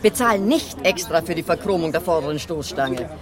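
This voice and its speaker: An actress in a crime radio play playing a cool, businesslike and sardonic wife. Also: female voice, sits low, lively intonation, normal speed, crowd noise. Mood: brisk, exacting. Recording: noisy outdoor bus station with vehicles.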